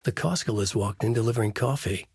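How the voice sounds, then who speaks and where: A man's voice: lowish voice, fast talker, a little mellow, husky, no echo, studio quality. A man voicing a haunted male horror novelist, delivering grim noir-style inner monologue as game voice lines. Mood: brooding. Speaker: narrator.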